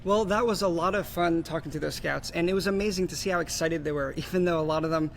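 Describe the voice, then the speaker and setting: A man's voice: fairly high pitch, quick pace, over music. A young man from New Jersey co-hosting a scripted show, friendly and easygoing. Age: young adult. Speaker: youth co-host.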